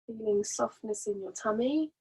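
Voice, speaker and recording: A woman leading a guided relaxation as a meditation teacher, soothing and gently instructing in an unhurried way. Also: medium pitch, creaky, husky, rough recording.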